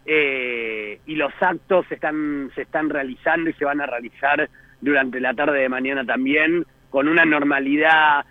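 A man's voice: on the high side, dry recording. A male journalist, speaking by phone in an interview and hesitating often with fillers and restarts. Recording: tinny phone line.

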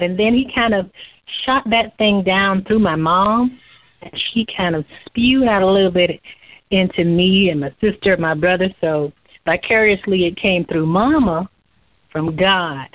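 A woman's voice: medium pitch, a little slow, no echo. A young woman from Central Florida with a light Southern twang, chatting easygoing as a radio show guest. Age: young adult. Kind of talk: casual interview chat. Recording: thin phone line, dry.

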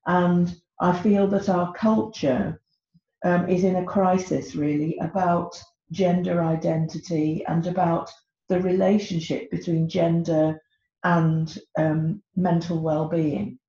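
A woman's voice: on the low side, little pitch movement, takes it slowly, dark tone. A middle-aged British woman, an author on a podcast, talking hesitantly with many ums. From Britain. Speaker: author guest. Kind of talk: podcast interview. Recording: echoey, dull lo-fi sound.

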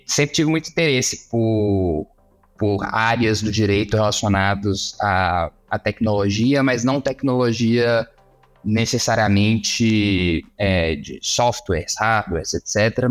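A Brazilian man from Minas Gerais speaks as an interview guest. His voice is on the low side. He explains in a casual, conversational way, halting now and then to find a word. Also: soft background music.

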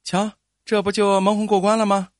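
Guy putting on a bossy farm wife in a story, smug and naggy, lively pitch, close dry sound. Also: fairly quick, no echo. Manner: pushy, smug, scolding. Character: sly, bossy farmer's wife, pushy and smug.

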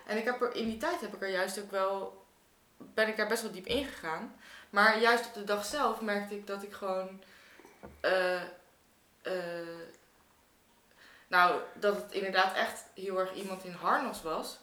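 A woman's voice: mid-range voice, measured pace, pushed and bright. A woman in casual, reflective conversation, searching for her words as she goes. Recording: roomy, echoing room sound.

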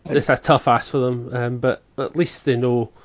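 A man co-hosting a football podcast in a halting, filler-heavy chat. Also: medium pitch, flat delivery, no echo. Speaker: podcast co-host. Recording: dry, dull lo-fi sound.

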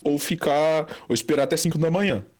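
Young Brazilian guy, fast rambling storyteller, slightly higher voice. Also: a little mellow. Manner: rambling, many fillers and restarts. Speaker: storyteller guest. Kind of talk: casual chat, telling an anecdote.

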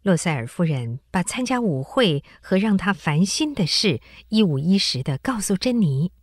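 A woman narrating a radio drama in an expressive, scripted storytelling style. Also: on the low side, moderate pace, no room sound, clean studio sound.

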